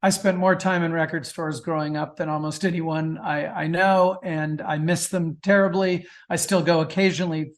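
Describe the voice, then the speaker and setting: A man's voice: a little high, steady tone, normal speed, hardly any pauses. A middle-aged man chatting casually as an interview guest, enthusiastic and prone to digressions. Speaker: guest author.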